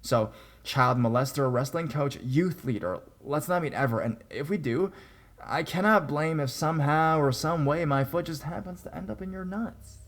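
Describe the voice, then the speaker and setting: A man's voice: a little high, normal speed. A man narrating true horror stories sent in by readers, reading them aloud with wry, sarcastic asides.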